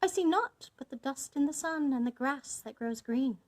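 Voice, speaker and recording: Fairy-tale lookout sister, woman acting: high, lilting, declamatory, animated pitch. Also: moderate pace. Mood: watchful. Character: watchful sister calling out from a tower. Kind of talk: dramatised fairy-tale storybook reading.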